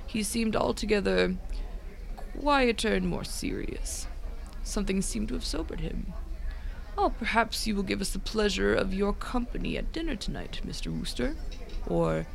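A man playing a formidable but genial older uncle in a radio drama, hearty and formal, with a creaky voice. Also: sits high, very animated, moderate pace, exaggerated voice, low-quality recording. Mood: genial, disapproving. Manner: hearty, formal, old-fashioned.

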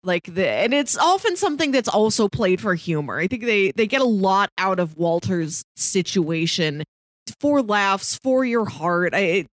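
Expressive woman with lively, swooping intonation. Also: mid-range voice, unhurried, dry recording, clean studio sound. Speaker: podcast co-host. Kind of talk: casual TV discussion podcast.

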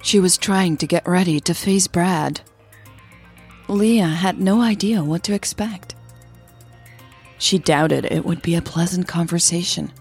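A woman narrates like a podcast storyteller, in a lowish, slow-paced voice. Her delivery is measured and breathy, with a gravelly creak at the edges. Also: a little mellow, faint music behind. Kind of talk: scripted narration of audio fiction.

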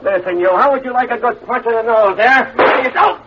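An actor playing a brash, shifty, slang-talking gambler in a vintage radio crime drama, stammering when cornered. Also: male voice, extremely high pitch, moderate pace. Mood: brisk, edgy. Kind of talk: 1940s-50s radio crime drama acting. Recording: tinny, hissy vintage radio recording.

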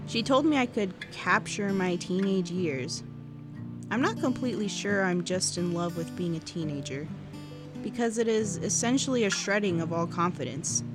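A woman playing a wistful, awkward seventeen-year-old girl who muses hesitantly into an audio diary in a fiction podcast. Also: on the low side. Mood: shy, candid. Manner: reflective, hesitant, self-correcting teenage musing. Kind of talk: intimate first-person cassette diary narration. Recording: music under the voice. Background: guitar music.

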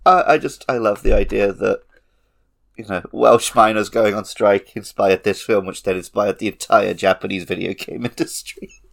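A man's voice: on the low side, fairly even intonation, moderate pace, creaky. A man co-hosting a podcast, talking casually as he lays out his thoughts. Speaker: podcast co-host.

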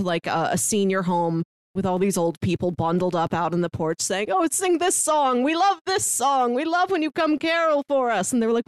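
A woman's voice: medium pitch, no echo. Casual woman podcaster, close dry sound. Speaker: podcast co-host reviewing a film. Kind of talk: casual film discussion.

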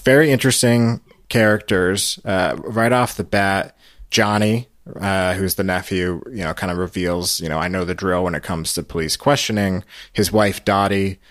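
A man hosting a podcast, giving casual recap commentary in short, halting phrases. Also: low voice, steady tone, fairly bright tone, no room sound, studio quality. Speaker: podcast host.

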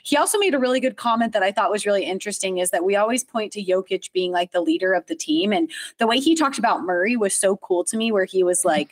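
A woman with a mid-range voice co-hosting a sports chat, talking quickly and chattily and filling her talk with "like." The recording is dry and close. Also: medium pitch, lively intonation, no room sound. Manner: chatty, laughs easily, says "like" often. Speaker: podcast co-host.

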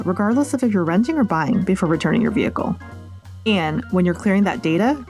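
A woman's voice: mid-range voice, a little mellow. An American woman hosting a podcast, reading a script with chatty, playful asides addressed straight to the listener. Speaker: podcast host and reporter.

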